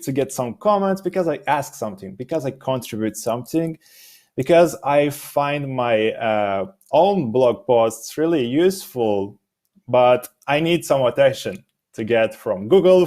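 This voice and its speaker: A young man whose first language is Romanian speaks slowly and haltingly, pausing often to find his words. He is answering questions in a relaxed interview, and his voice sits at an ordinary middle pitch. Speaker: guest, SEO expert.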